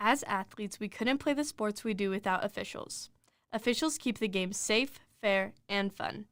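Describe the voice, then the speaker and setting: A young woman reads a scripted public service message as a student athlete. Her voice is smooth and clear at a medium pitch, recorded close and dry. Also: moderate pace, fairly bright tone, no echo. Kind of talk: scripted announcement read.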